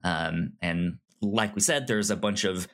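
Slow-paced, informal podcast co-host. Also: deep voice, fairly bright tone. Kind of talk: casual film discussion.

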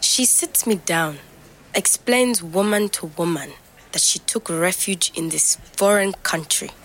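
A woman playing a reflective young migrant, speaking measured, introspective fragments as a scripted audio diary. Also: lowish voice, low-quality recording. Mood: searching, melancholic. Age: young adult. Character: reflective young African migrant woman recording private night-time notes.

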